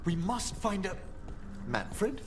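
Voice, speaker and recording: A man's voice: sits high, big pitch swings, slow pace, exaggerated voice. A man voicing a courtly, scholarly older necromancer in a video game, speaking formally and theatrically. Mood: courteous. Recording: game audio with clear ambient noise.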